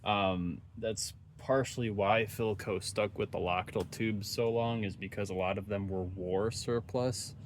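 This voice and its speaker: A man with a fairly low voice talks casually through hands-on repair work as its host. He sounds unscripted and offhand, thinking aloud with a dry joking streak. There is faint ambient noise behind him. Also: steady tone, a little slow, few pauses. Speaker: host of radio repair videos.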